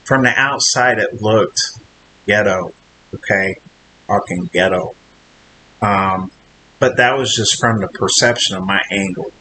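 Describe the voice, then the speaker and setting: A man's voice: lowish voice, unhurried, pauses often, bright, forceful tone, low-quality recording. Nasal-voiced man chatting in a hissy hotel room. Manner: rambling, chatty, frequent restarts and fillers. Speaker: vlogger, trainee truck driver. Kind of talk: casual vlog talk to camera.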